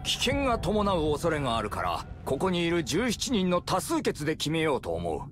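An actor playing a middle-aged leader of a hunter party, measured and authoritative, in a game cutscene style. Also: male voice, a little high, huge pitch swings, a bit bright. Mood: serious, deliberate. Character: commanding, deliberate male leader of a hunter party. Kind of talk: Japanese game cutscene voice acting. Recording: music behind the voice.

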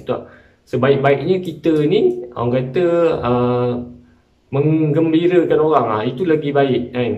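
A man's voice: on the high side, a little mellow, highs cut off. A young man with a Malaysian accent, speaking informally and admonishingly to camera as a vlogger giving casual commentary. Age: young adult. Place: small bare-walled room indoors. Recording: echoey room, distant microphone.

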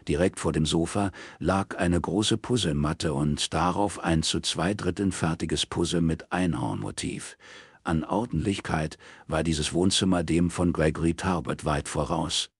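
A man's voice: deep voice, moderate pace, vocal fry, husky, no echo, studio quality. A man narrating a crime novel as an audiobook, reading from a script.

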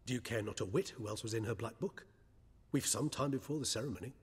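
An actor playing an erudite, courteous older scholar in a video game, wry and reflective, speaking eloquently and formally. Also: male voice, mid-range voice, breathy edge, in a large hall. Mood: reflective, earnest. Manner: eloquent, formal, measured, wordy. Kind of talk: dramatic video game acting.

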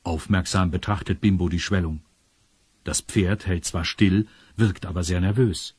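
A man's voice: deep voice, steady tone, measured pace, darkish tone, husky, dry recording. A man narrating a children's audio drama as a scripted storyteller.

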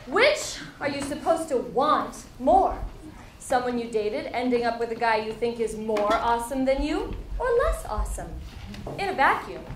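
A woman's voice: high voice, recorded from a distance. A young woman reading comedic writing aloud to a live audience. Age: young adult. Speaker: guest reader at a live event. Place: crowded dim indoor bar venue. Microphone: stand microphone at a lectern. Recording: echoey room, noisy audience. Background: audience noise and laughter.

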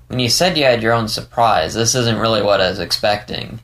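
A man's voice: fairly low pitch, fairly quick, fairly bright tone. A man voicing an eager, earnest and curious male dog character in an audiobook story. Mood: sheepish, curious.

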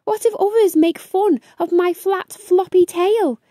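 Woman voicing an anxious young beaver boy, high-pitched. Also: a little slow, no room sound, clean studio sound. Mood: worried. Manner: expressive, chanting rhymes and fretting. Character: anxious young male beaver, talking himself into courage. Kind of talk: children's storybook read-aloud.

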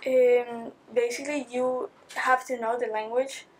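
A teenage woman from Spain speaks with a Spanish accent in a slightly high but flat, even voice. She talks casually and chattily, full of restarts and fillers, seated at a desk in a school studio set. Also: unhurried. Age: teens. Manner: chatty, many likes and restarts. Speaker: exchange student guest. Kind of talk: casual interview answers.

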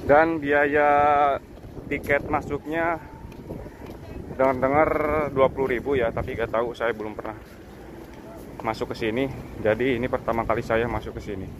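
A man's voice: medium pitch, fairly even intonation, moderate pace, pauses often, noisy background. A man from Indonesia chatting casually as a travel vlogger, guiding viewers along as he walks outdoors by the sea with a handheld phone. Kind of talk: casual walking vlog chat. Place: outdoors along a seaside walkway.

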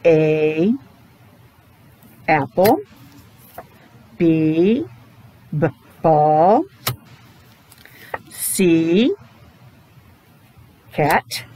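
Slow-paced middle-aged woman teaching little kids. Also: medium pitch, low-quality recording. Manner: simple, deliberate phrasing with chatty self-talk. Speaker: preschool teacher. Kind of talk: children's classroom lesson. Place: small indoor classroom.

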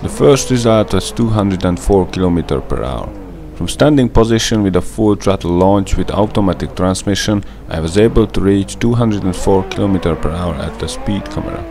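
Deep-voiced Hungarian-accented man explaining. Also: lively intonation, normal speed, few pauses, noisy background. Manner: careful, methodical explaining. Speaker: gaming tutorial narrator. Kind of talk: scripted gameplay voice-over.

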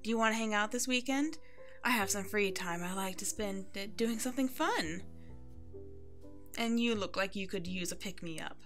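Woman acting as a playful hairstylist, chatty, reassuring, mid-pitched, ASMR comfort roleplay, faint background music. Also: normal speed, a bit bright, lightly acted. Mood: playful, upbeat. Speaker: voice actor playing a hairstylist.

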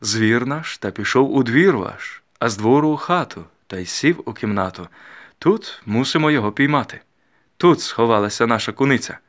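A man's voice: mid-range voice, lively intonation, normal speed, close to an everyday voice. A solemn village matchmaker from a storybook, acted by a male reader. He declaims flowery rhymes with great ceremony. The delivery is strong and projected. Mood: solemn declaiming.

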